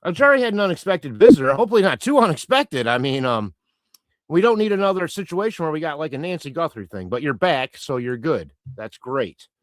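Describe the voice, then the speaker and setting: Chatty man hosting a livestream, lively pitch. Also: brisk, no echo. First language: Croatian. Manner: rambling, chatty, many fillers and restarts. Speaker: livestream host reading chat. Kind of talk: casual live-stream chat.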